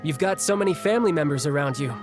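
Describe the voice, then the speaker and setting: A man's voice: on the high side, lively intonation, fast talker, music in the background, lightly acted. A man voicing a cheerful young male warrior in a video game, easygoing and friendly.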